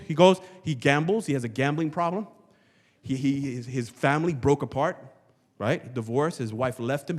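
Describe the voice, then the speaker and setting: A man with a slightly high voice preaches a sermon as a pastor. He is emphatic and rhetorical, repeating phrases for weight. His words ring with long reverb in a big church hall. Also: a bit bright. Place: large church hall, before a congregation.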